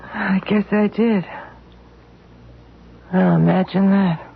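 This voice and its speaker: An actress playing a dazed housewife who speaks haltingly in an old radio drama. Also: female voice, medium pitch, fairly even intonation, gravelly, husky, full character voice. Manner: hesitant, halting speech. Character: dazed housewife Edith, embarrassed after passing out. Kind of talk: 1970s radio drama acting. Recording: tinny lo-fi old radio broadcast.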